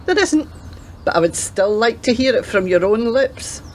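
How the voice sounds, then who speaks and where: An actor playing a proud retired police officer, gently probing and deliberate, in a radio play. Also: medium pitch, animated, slight background noise, lightly acted. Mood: teasing, proud. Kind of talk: radio drama dialogue.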